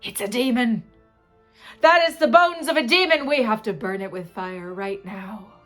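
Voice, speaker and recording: A woman's voice: fairly high pitch, moderate pace, fairly bright tone, faint music behind, lightly acted. A woman voicing an alarmed, superstitious male gravedigger in a told story, urgent and emphatic. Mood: fearful certainty. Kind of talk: storybook character in oral storytelling.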